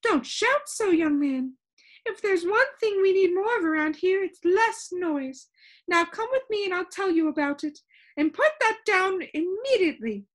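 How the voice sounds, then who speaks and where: A very high-pitched, bossy storybook lady, as a woman reader acts her out. She is fussy and theatrical, and her commanding voice swoops widely from word to word. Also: big pitch swings, normal speed. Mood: scolding. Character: bossy, fussy, imperious woman keeper of sounds. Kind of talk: children's storybook character voice.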